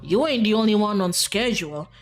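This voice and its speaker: A woman voicing a gruff, stubborn old rural hunter with a Southern drawl, grumbling in a folksy, threatening way for a game. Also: medium pitch, animated, fairly quick, faint music behind, close to an everyday voice. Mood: stubborn, proud.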